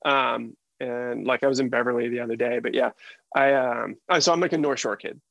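Gravelly American man in his forties, casual. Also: medium pitch, fairly even intonation, fairly quick, fairly bright tone, no room sound. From United States. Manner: informal, slangy. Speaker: podcast guest, sound engineer. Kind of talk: casual interview chat.

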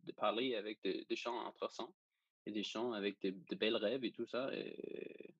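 A young man with a Danish accent, speaking casually and haltingly as he searches for words. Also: lowish voice, steady tone, breathy edge, no room sound, dull audio. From Denmark. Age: young adult. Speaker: interview guest, journalism student. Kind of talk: casual interview.

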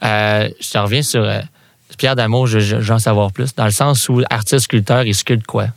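A man's voice: fairly low pitch, steady tone, normal speed, vocal fry, no echo. A man with a Quebec French accent hosting a casual interview, chatting in a supportive, easygoing way. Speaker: host.

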